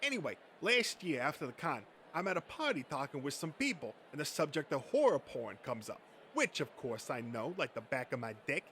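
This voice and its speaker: A man's voice: on the high side, big pitch swings, brisk, fairly bright tone, a little hiss or hum. A man acting a boastful, crude male horror fan in a comic dub, telling stories with profane swagger. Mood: bragging.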